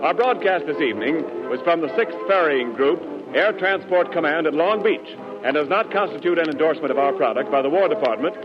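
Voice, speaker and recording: Animated 1940s male radio announcer. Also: a little high. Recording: muffled 1940s radio broadcast.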